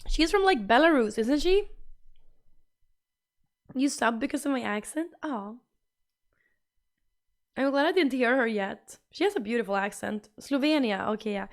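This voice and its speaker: A woman's voice: fairly high pitch, animated, measured pace, pauses often. A young woman with a Swedish accent, a streamer chatting casually and reading out her chat, bubbly and repetitive. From Sweden.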